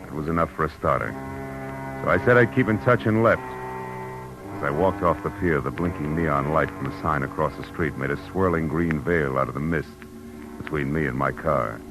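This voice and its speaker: A man's voice: a bass voice, moderate pace, poor audio. An American actor playing a tough, sardonic, world-weary private detective in a vintage radio drama, wry and clipped in his slangy delivery. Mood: moody narration. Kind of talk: 1940s-50s radio detective drama, first-person narration. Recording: vintage radio recording with music behind.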